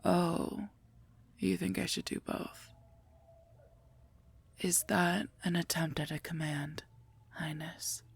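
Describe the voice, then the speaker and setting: Woman acting a gruff, gravelly female werewolf knight. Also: on the low side, fairly even intonation, takes it slowly, long pauses, full character voice. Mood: curt, sardonic. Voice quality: husky, gravelly, breathy. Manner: dry, curt, mocking. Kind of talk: scripted ASMR roleplay, addressed to listener.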